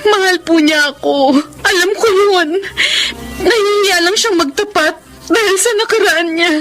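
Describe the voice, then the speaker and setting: Very high-pitched, emotional young woman. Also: a little slow, fairly bright tone, faint music behind. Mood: tearful, pleading. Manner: emotional, earnest. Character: young woman, defiant, devoted daughter in love. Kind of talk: Tagalog radio drama acting.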